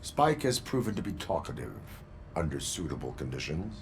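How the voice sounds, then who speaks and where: An actor playing a stoic cyborg police officer in a video game, speaking in a terse, formal deadpan. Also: male voice, slight background noise, heavily acted. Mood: deadpan, resolute. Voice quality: low, measured. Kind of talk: video game cutscene acting.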